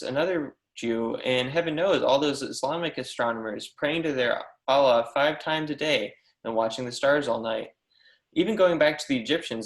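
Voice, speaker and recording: A man's voice: medium pitch, close to an everyday voice. A man reading an eager young professor, echoey room. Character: eager, persistent young mathematics professor pleading his case. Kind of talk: amateur readers theatre script reading.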